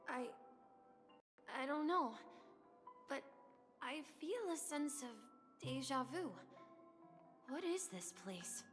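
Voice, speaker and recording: A woman voicing a timid, polite, eager young bellboy in a video game, hesitant and halting, in an echoing recording. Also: high-pitched, sing-song, fairly bright tone, heavily acted. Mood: puzzled. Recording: long echoing reverb under music.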